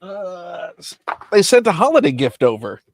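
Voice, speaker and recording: A man hosting a casual live chat, crisp voiced and joking with his guest. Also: big pitch swings, moderate pace, dry recording, clean studio sound. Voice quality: crisp, slightly high voice. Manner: playful, jokey. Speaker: host.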